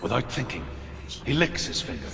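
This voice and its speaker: A grave teller of ancient myths for a game. His deep voice is measured and solemn. It rings with strong echo over clear ambient noise. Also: very animated. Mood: solemn storytelling. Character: grave male storyteller recounting Norse myths. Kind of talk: scripted mythic storytelling in a video game. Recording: echoey long reverb, ambient noise.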